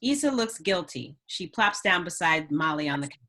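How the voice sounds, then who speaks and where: A woman's voice: on the low side, big pitch swings, moderate pace, pushed and bright. A woman reading screenplay stage directions as a scripted narrator.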